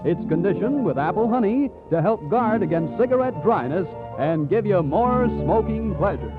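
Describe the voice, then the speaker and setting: A man's voice: high-pitched, animated, normal speed. An American man reading scripted announcements and advertising as a 1940s radio announcer. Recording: tinny 1940s radio, music behind.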